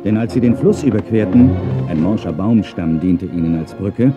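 Male narrator, low voice, lively intonation, dramatic children's audio drama, music behind. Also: normal speed, dull audio. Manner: dramatic storytelling.

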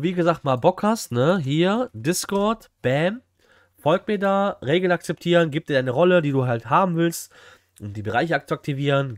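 A man's voice: fairly high pitch, no echo. Man, 30s, livestream reactor; casual, slangy, direct to chat, dry room sound. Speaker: streamer reacting to a flea market video. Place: small indoor room, streaming setup.

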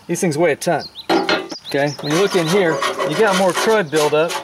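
A man's voice: a little high, normal speed, over loud music. A man in his forties hosting a casual do-it-yourself video, chatting in a folksy way while he works outdoors in a yard. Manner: chatty, folksy, talks while working with hands. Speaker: DIY video host. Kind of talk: casual how-to demonstration. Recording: outdoor hiss and birds. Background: birds and outdoor ambience.

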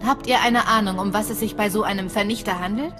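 An actress playing a cool, professional imperial secret agent in a sci-fi game, speaking in a controlled, formal way. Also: female voice, mid-range voice, fast talker, over music, natural voice. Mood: cool, businesslike. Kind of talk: dramatic sci-fi game dialogue.

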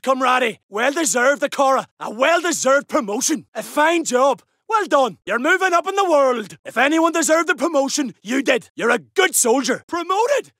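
A man voicing a gruff, battle-hardened soldier in a video game, barking urgent, blunt combat lines. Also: extremely high pitch, no room sound, clean studio sound, heavily acted. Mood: approving. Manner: urgent, forceful, blunt swearing.

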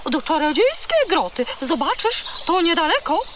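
Cheeky female seagull for a children's radio play: very high, lively, brash, strong echo. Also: normal speed, background noise. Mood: boastful. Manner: brash, chattering, exclamatory. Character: bossy, chatty female seagull guide, cheeky and boastful. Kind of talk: children's audio drama acting.

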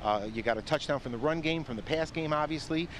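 A man's voice: a little high, fast talker, noisy background. A man interviewing a coach and players after a game, upbeat and chatty. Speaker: sideline interviewer. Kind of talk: postgame interview questions.

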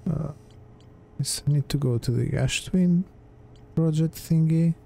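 A man's voice: a little high, a little slow, gravelly, dark tone, slightly rough. A man giving casual gameplay commentary, hesitantly muttering his thoughts aloud as he plays. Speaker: gamer, let's play host.

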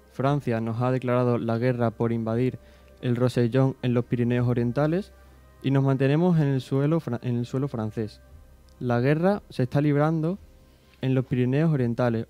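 A man's voice: medium pitch, no room sound, close to an everyday voice. A teenage boy from Spain playing a humble village priest of the 1790s, reading diary entries aloud in a small school radio studio. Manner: steady, plain reading-aloud delivery. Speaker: student reader on school radio. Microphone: desk microphone, headphones.

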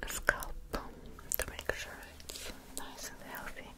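A young woman in an ASMR roleplay, speaking in a soft, breathy whisper with a soothing, halting delivery, close to the microphone. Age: young adult. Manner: soothing, hushed, halting delivery with long pauses. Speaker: ASMR performer playing a hairdresser. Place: small indoor set.

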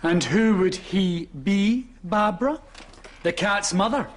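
An actor playing a camp, melodramatic, wounded hairdresser in a broad sitcom style. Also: male voice, sits high, slow pace, background noise. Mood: icy.

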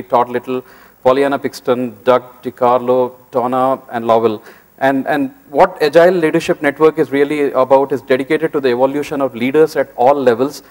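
Male moderator, middle-aged, filler-heavy delivery, lapel mic in a conference hall. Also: medium pitch. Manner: hesitant, many filler sounds. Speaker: panel moderator. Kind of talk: conference panel introduction. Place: large conference hall stage.

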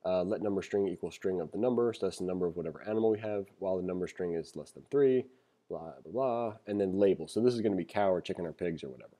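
A man giving a casual read-along programming tutorial, reading aloud and thinking out loud with informal explanatory asides. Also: low voice, fast. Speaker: programming instructor. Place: screen-recorded voice-over.

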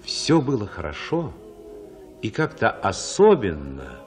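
An actor narrating boyhood memories in a radio drama as a reflective man, husky and expressive, with literary phrasing. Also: fairly low pitch, slow, soft background music, exaggerated voice. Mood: reflective, tender.

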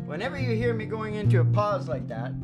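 A man's voice: sits high, big pitch swings, a little fast, over loud music. An older man bantering and ranting casually as a show host, with a sarcastic, profane, folksy manner, close on a stand microphone.

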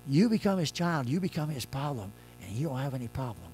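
Older pastor preaching from the pulpit. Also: male voice, mid-range voice, sing-song, fast, faint music behind. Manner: conversational preaching, swings between loud emphasis and soft quiet lines. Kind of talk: sermon. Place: church sanctuary at a pulpit.

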